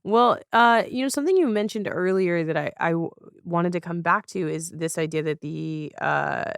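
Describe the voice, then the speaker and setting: A woman's voice: on the low side, moderate pace, gravelly, clear, resonant tone, no echo, clean studio sound. A woman hosting a casual interview, phrasing things tentatively and rewording as she goes. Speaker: podcast host, interviewer.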